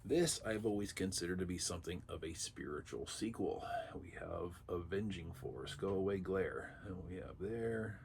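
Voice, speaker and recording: A man with a low, gravelly voice, thick with congestion because he is under the weather. He rambles casually through an unboxing and drops dry little asides. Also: normal speed, hardly any pauses, a little mellow, slight background noise. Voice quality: raspy. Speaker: vlog host.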